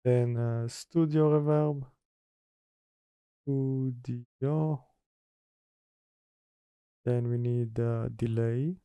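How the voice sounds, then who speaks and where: A man presenting an informal software tutorial, halting as he reads steps from notes and mutters through them. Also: medium pitch, steady tone, clean tone, no room sound. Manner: halting, mutters step by step while working. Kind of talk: informal screen-recorded software tutorial, partly read from notes.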